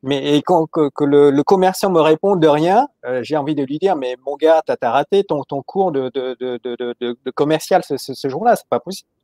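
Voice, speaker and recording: Panel moderator, male, fairly high voice, teasing, stammers through repeats, dry close sound. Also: dark tone, no echo. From Paris. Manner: joking, halting with many repeated words. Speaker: webinar moderator. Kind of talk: casual panel discussion.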